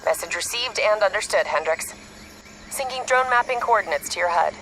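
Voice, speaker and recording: An actress playing a composed, businesslike intelligence operative in a video game, speaking in a clipped manner. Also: female voice, mid-range voice, lively intonation, a little fast, sharp tone, a little hiss or hum, low-quality recording. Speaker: mission handler. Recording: game music behind the voice.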